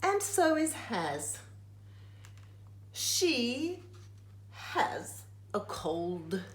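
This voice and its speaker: A woman reads a rhyming picture book aloud in an echoey room. Her delivery is very slow and deliberate, broken phrase by phrase. The pitch swings widely as she leans on words for dramatic emphasis. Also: sing-song, a little hiss or hum.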